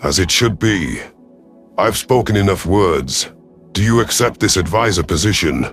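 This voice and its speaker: A man voicing a stern, shrewd alien predator chief in an audio drama, speaking in a formal, measured, authoritative way. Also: sits low, lively intonation, fairly bright tone. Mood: shrewd, commanding. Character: commanding alien predator rebel leader, male, shrewd and stern. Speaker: military chief narrating his own story. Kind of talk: audio drama narration and dialogue. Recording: music behind the voice.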